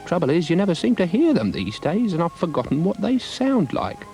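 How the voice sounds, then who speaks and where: A man's voice: a little high, wildly swooping pitch, brisk, warm tone, soft background music. A man voicing a wistful, forgetful male former impressionist in a children's story, rambling with faded pride. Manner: wistful, rambling, proud of past.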